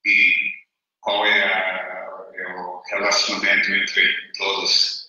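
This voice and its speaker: A man's voice: low-pitched, monotone, slow, bright, forceful tone, heavy reverb, far from the mic, dull audio. A man from England and the Netherlands asking a question from the audience, hesitant and searching for words. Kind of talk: halting spoken question.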